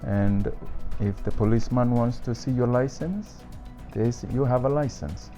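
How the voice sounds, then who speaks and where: A man speaking as a father in an interview, measured and hesitant with fillers. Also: mid-range voice, mellow, soft background music. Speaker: father interviewed about policing. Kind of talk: reflective interview talk. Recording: dull lo-fi sound.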